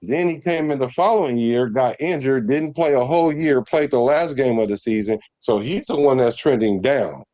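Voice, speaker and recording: A man's voice: medium pitch, moderate pace, hardly any pauses, dark tone, no room sound. A man from the Bay Area arguing about sports in a casual, emphatic, rhythmic way, full of slang and repeating himself. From San Francisco Bay Area. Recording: dull lo-fi sound.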